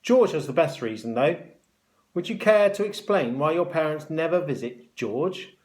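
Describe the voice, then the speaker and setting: A man voicing a cruel, bossy hospital matron with a sharp, mocking, snapping delivery in a children's story. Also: a little high, animated, moderate pace, voice pushed up, close to an everyday voice. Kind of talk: children's story read aloud.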